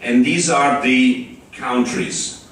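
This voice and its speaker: An Italian-accented man gives a conference talk in a large hall, slow and halting with frequent fillers and restarts. His voice sits at an ordinary pitch and comes from a distance, with heavy echo and faint room noise behind it. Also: fairly bright tone. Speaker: conference speaker. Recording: echoey hall, far from the mic.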